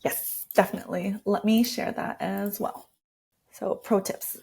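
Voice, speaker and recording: A woman's voice: mid-range voice, fairly even intonation, a little slow, creaky, darkish tone, breathy edge. A woman speaking as an interview guest, explaining and walking through tips in a conversational way. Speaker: interview guest, design lead.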